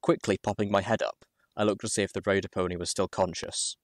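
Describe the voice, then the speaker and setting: Male story narrator, low pitch, dry close sound, dramatic first-person delivery, measured phrasing, deliberate pauses. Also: steady tone, no room sound.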